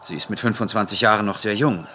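An actor playing a pensive medical researcher dictating work notes in a measured, matter-of-fact audio drama monologue, with noise behind him. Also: male voice, lowish voice, lightly acted. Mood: focused, businesslike. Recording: clear background noise.

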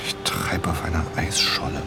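An actor playing a brooding, depressed man in an audio drama, speaking in a halting, introspective way. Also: deep voice, steady tone, vocal fry, loud music behind the voice. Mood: heavy, melancholic. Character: brooding, depressed man stranded in the wilderness, prone to agitation. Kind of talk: German audio drama acting.

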